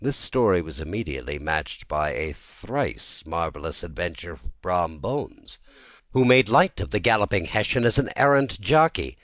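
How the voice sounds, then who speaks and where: A man narrating a classic ghost story as a scripted reading, in a measured storytelling manner. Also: low-pitched, animated, a bit bright, no room sound. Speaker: audiobook narrator.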